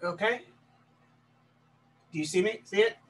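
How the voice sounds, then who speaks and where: A man's voice: high voice, a little slow. A man from Texas, a planetary scientist, giving a talk over a video call, with many ums and restarts. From Texas, USA. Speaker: guest presenter, planetary scientist. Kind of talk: casual chat then slide presentation. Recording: distant mic, faint background noise.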